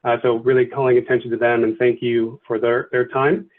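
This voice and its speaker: A man's voice: mid-range voice, level tone, brisk. Man running a video call meeting. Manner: many fillers and restarts, polite thanks. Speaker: meeting facilitator. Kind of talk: virtual meeting facilitation. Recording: tinny phone-like video call audio.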